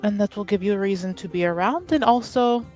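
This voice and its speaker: A woman's voice: medium pitch, brisk, a little mellow, soft background music, natural voice. A woman playing a composed, polite and commanding noblewoman in a tabletop roleplaying game. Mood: calm scheming. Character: composed noblewoman giving orders and discreet offers.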